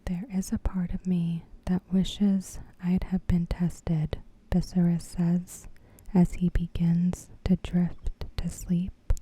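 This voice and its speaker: A woman narrating an ASMR recap in a soft, breathy whisper, gentle and measured. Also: fairly low pitch, completely flat delivery, rough recording.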